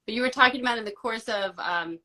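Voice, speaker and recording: A woman's voice: on the high side, fast, bright, forceful tone. A woman hosting a live online interview, welcoming her guest and guiding the talk over a video call. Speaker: host.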